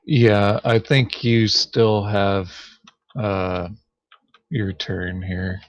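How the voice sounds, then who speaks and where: Low voiced guy talking real slow over a board game, dull sound. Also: little pitch movement, no echo. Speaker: tabletop board game player. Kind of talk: casual chat during board game play.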